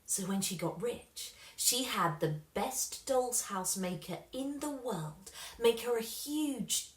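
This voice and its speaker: A woman reading a children's story aloud with expressive, dramatic emphasis. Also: a little high, echoey room. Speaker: storybook reader.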